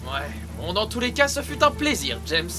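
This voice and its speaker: Young male rookie agent, acted by a man: high voice, very animated, indignant, music underneath. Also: normal speed. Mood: resigned. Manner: whiny, indignant, cursing. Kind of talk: audio drama acting.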